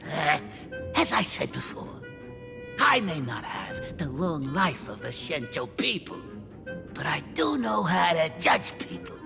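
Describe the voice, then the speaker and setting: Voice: mid-range voice, very animated, a little slow, creaky, big-room sound, over music. An actor voicing an eccentric, boastful little gang boss creature in a video game, chatty and theatrical, chuckling and wheedling. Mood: shrewd. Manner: theatrical, chatty, punctuated with 'eh' and 'huh'.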